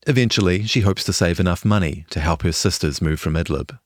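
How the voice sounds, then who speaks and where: A man's voice: deep voice, a little fast, dry recording, studio quality. A man reading a scripted longform news feature as a journalist narrator.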